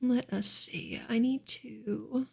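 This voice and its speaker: A woman speaks in a soft ASMR manner, gentle and close to a whisper. Her voice is extremely breathy with a creaky edge, and her intonation stays quite flat at a medium pitch. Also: slow pace. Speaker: ASMR streamer and reader. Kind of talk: soft-spoken ASMR book reading and chat.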